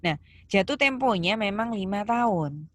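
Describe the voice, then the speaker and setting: A woman's voice: medium pitch, lively intonation, moderate pace, dry recording. A woman giving a step-by-step accounting lesson, didactic, posing questions and stressing key terms. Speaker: accounting lecturer. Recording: clear background noise, keyboard clicks.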